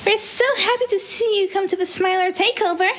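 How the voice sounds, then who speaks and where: A woman's voice: very high-pitched, steady tone, brisk. A young woman voicing a manically cheerful, deranged female cartoon villain creature with a gleeful, sinister, coaxing delivery. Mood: sweetly coaxing. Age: young adult. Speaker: amateur voice actor. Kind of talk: theatrical character voice lines. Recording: faint hiss, home recording.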